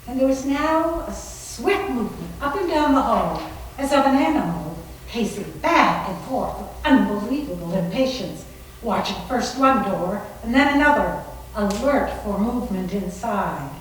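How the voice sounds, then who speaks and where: A woman narrates a story dramatically in a fairly high voice. She is far from the mic in a very echoey room, with faint noise behind her. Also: lively intonation, moderate pace. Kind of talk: dramatic story narration, live readers theater. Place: library room, live staged reading.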